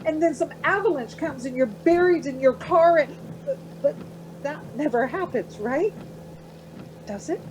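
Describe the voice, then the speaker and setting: A woman's voice: high-pitched, animated, measured pace, pauses often, a little hiss or hum, low-quality recording. A woman acting in a radio play as an anxious, questioning traveller, fretful and hesitant. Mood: worried.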